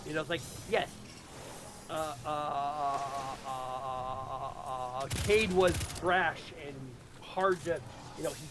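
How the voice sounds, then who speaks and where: A man talking casually about a game story, haltingly, repeating words as he thinks aloud. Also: a little high, background noise. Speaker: host presenting his audio drama.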